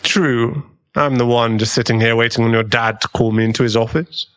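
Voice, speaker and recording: A man playing an earnest, kindly government agent in an audio drama, with a measured, avuncular way of talking. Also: medium pitch, steady tone, a bit bright, smooth, dull audio, close to an everyday voice. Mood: gently teasing.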